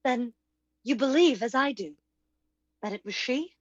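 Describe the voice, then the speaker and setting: A woman playing a high-voiced, earnest young heroine. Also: lively intonation, a little slow, no echo, muffled recording. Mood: relieved, earnest. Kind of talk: old-time radio drama acting.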